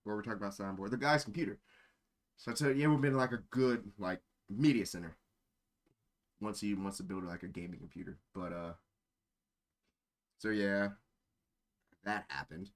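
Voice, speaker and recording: A man's voice: on the low side, moderate pace, long pauses. A man streaming casual chat in a rambling, easily sidetracked way. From born in Germany. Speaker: live streamer.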